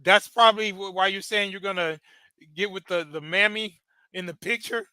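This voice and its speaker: Bright, crisp-voiced man chatting. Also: high voice, moderate pace, dry recording. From United States. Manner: chatty, stammering, laughing asides. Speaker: podcast host reacting to a video. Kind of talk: casual live commentary.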